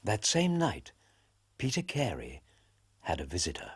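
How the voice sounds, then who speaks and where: A man narrating a radio drama in a measured storytelling manner. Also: deep voice, wildly swooping pitch, breathy edge.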